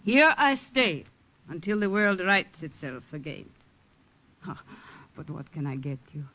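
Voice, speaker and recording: A woman's voice: fairly low pitch, very animated, unhurried, a bit bright, heavily acted, low-quality recording. An actress playing a doting, steadfast elderly Italian grandmother with an Italian accent in an old-time radio drama. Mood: firm, caring. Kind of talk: 1940s-50s radio drama acting.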